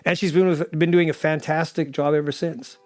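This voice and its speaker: A man's voice: a little high, fairly even intonation, a little fast, soft background music. A man talking conversationally as an audio drama producer, recounting things and quoting remembered dialogue. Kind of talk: conversational interview anecdote.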